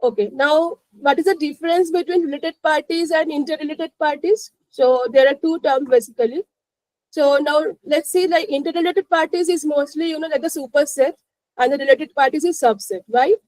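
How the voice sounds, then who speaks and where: Webinar instructor: Indian-accented woman with a high-pitched voice, explaining conversationally, recorded dry. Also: normal speed, darkish tone, no echo, low-quality recording. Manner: repeats 'you know, like' and checks understanding. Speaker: presenter of a finance webinar. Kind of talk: live explanatory lesson.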